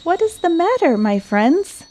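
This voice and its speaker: Lady doing a sweet little girl train engine for a kids book, high, gentle, modest but plucky. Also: sing-song, unhurried, heavily acted. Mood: kind, modest. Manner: gentle, kindly.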